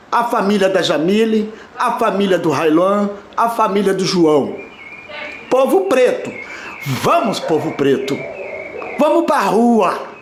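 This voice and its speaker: A man giving a rousing, declamatory protest speech, rising to shouts at its peaks. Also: sits high. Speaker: activist in a played video. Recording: roomy echo with faint noise.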